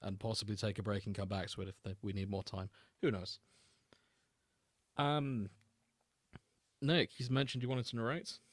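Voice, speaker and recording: A man's voice: on the low side, steady tone, moderate pace, pauses often, no echo. A man hosting a podcast, chatting casually and jokily with the odd mock-announcer aside. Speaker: podcast host. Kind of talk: casual comedic podcast chat.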